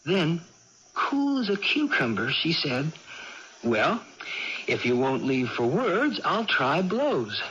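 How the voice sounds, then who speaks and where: An actress playing an earnest, excitable young person with an emphatic, awed way of speaking in a radio drama. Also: female voice, deep voice, takes it slowly, heavily acted. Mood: amazed recounting. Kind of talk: 1980s radio drama acting. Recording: muffled, noisy old radio broadcast.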